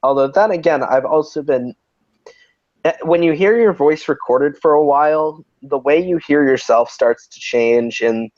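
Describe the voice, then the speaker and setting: A man's voice: a little high, normal speed, a little mellow, no room sound, muffled recording. Rambling man with an androgynous voice. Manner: rambling, many restarts and fillers. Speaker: host. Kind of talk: casual livestream chat.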